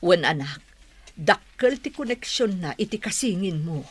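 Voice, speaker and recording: A woman's voice: on the low side, lively intonation, unhurried, breathy edge. An actress playing an Ilocano mother counseling her grown child in a radio drama. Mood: concerned.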